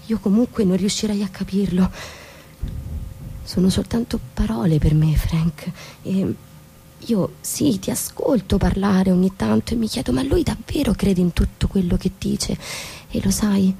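An actress playing a disillusioned, questioning wife in a naturalistic stage drama, speaking in a hesitant, searching way. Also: female voice, mid-range voice, gravelly, warm tone, breathy edge, heavily acted. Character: disillusioned, questioning young wife in a troubled marriage.

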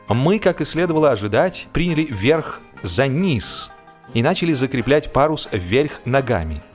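A man narrating a humorous story expressively, theatrically, with deliberate pauses and stretched words. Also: medium pitch.

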